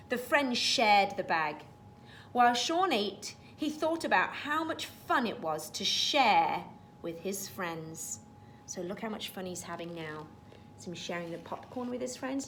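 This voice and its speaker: A woman reads aloud to young children as their teacher, pausing for simple explanations. Her voice is fairly high and swings widely in pitch, at an unhurried pace, over faint background rumble. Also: fairly bright tone. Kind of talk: storybook read-aloud with explanatory asides.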